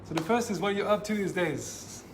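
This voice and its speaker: A man's voice: sits high, a little fast. A man hosting a panel talk at a maker space event, speaking casually with many fillers. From France and Japan. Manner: hesitant, many fillers, friendly. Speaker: event host. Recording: noisy room ambience.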